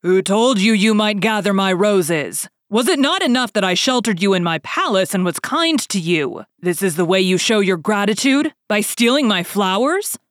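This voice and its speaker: A woman performs a fearsome fairy-tale beast, male and quick to anger. The delivery is stern, loud and imperious, recorded very dry. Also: lowish voice, very animated, no room sound. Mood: furious. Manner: stern, imperious, loudly declaiming. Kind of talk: storybook character voice.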